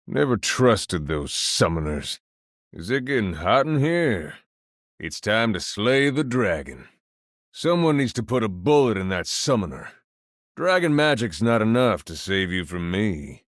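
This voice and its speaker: A man's voice: lowish voice, sing-song, measured pace, dry recording, clean studio sound. A man playing a cocky, hard-bitten fighter, delivering short taunting battle lines for a fantasy game.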